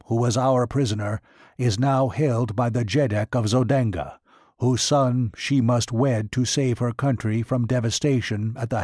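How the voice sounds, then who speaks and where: A man's voice: mid-range voice, a little mellow, dry recording, clean studio sound. A man voicing a proud alien warrior chieftain addressing a council formally.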